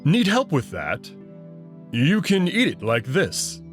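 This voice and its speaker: A man voicing an awkward, evasive male alien wolf who talks haltingly in a visual novel. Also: on the high side, huge pitch swings, soft background music, exaggerated voice. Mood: hesitant, concerned. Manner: hesitant, halting.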